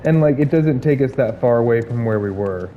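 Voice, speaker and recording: A man giving casual gameplay commentary, hoarse from illness, rambling with many fillers and restarts. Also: medium pitch, animated, brisk, muffled recording, slight background noise.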